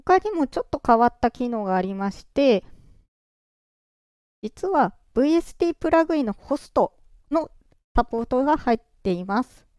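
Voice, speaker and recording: A presenter walking through software setup step by step in a calm, explaining way, their voice run through a pitch-raising voice changer. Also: normal speed, pauses often, clean tone, no room sound, studio quality. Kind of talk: screen-recorded software walkthrough.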